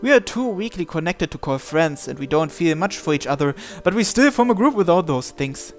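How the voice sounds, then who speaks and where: A man's voice: fairly high pitch, lively intonation, a little fast. A man reading visual novel text aloud as a narrator, with game music behind him.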